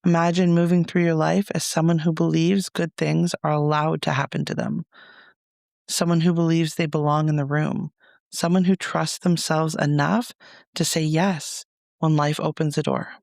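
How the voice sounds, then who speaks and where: A man leading a guided meditation as a reflective monologue, speaking in a soothing, measured, gentle way with pauses. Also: a little high, flat delivery, gravelly, no echo, clean studio sound.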